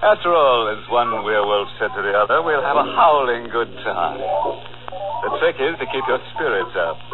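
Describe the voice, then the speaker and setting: An actor playing a gleefully morbid, mock-friendly horror host in 1940s radio style, with sardonic, theatrical delivery. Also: male voice, mid-range voice, expressive, normal speed, close to an everyday voice. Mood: ghoulish welcome. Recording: muffled old radio broadcast, organ music behind.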